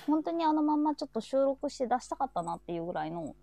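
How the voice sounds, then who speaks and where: A woman's voice: high-pitched, very animated, quick pace, crowd noise. A woman hosting a casual live chat, talking in a chatty, agreeable way. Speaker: host of a live chat show.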